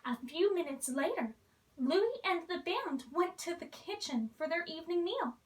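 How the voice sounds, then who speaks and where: A woman reads a children's story aloud in an expressive storybook style, playing the role of a princess. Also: very high-pitched, moderate pace, far from the mic.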